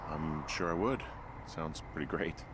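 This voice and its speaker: A male fire lookout in a naturalistic game drama, with a deep voice. He is understated, wry and casual. Clear ambient noise sits behind him. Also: unhurried. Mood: hesitant, flirty. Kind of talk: naturalistic video game voice acting.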